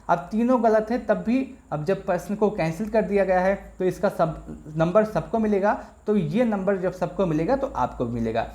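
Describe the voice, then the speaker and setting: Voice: medium pitch, darkish tone. A presenter explains things informally, addressing listeners directly and repeating points. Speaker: exam news presenter. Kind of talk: informal explainer on exam results. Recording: echoey room.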